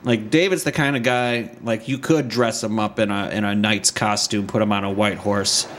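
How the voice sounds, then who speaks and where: A man's voice: lowish voice, steady tone, normal speed, fairly bright tone, slight background noise. A man casually chatting as a podcast host, joking, swearing freely and laughing often.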